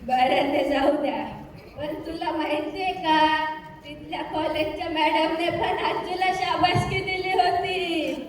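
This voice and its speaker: A woman's voice: very high voice, fairly even intonation, measured pace, a little hiss or hum. A woman playing a doting, nostalgic old grandmother in an amateur stage play. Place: stage in a college hall. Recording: very echoey hall from far off.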